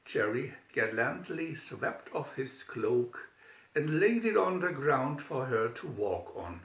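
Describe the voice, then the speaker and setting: A man reading a children's story aloud in an expressive, theatrical manner, as a scripted narration. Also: mid-range voice, slow pace. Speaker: storybook reader. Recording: echoey room, distant dull microphone.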